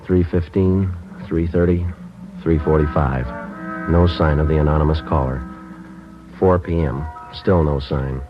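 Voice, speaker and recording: Very deep, deadpan police detective. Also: male voice, unhurried. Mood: deadpan, grim. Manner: deadpan, terse, matter-of-fact. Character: stoic world-weary male police detective. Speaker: police sergeant narrator and lead character. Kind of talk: 1950s radio police drama, clipped first-person narration. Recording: old muffled radio recording with music.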